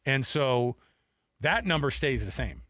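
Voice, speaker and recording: A man's voice: mid-range voice, animated, a little slow, a bit bright, no room sound, studio quality. A man hosting a sports radio show in casual talk, often acting out imagined quotes.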